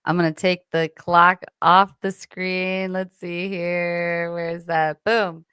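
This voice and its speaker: Effusive lady host running a live stream chat, smooth voice, dead dry. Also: lowish voice, level tone, slow, a bit bright, no room sound. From Illinois. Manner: effusive, upbeat. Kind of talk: hosting a live panel chat.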